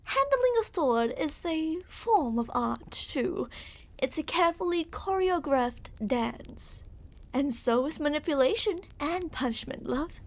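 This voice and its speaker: A woman's voice: high-pitched, expressive, measured pace. A woman playing a smug, menacing yandere royal captor in an ASMR roleplay, speaking in a theatrical, condescending, mock-affectionate way. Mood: coolly menacing.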